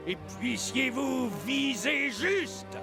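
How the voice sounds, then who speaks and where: An actor playing a fanatical old whaling captain in a French children's cartoon, gravelly and barking orders. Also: male voice, extremely high pitch, lively intonation, drawn-out words, exaggerated voice. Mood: frenzied, hateful. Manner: commanding, bellowing orders. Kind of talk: children's cartoon dub acting. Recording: loud music under the voice.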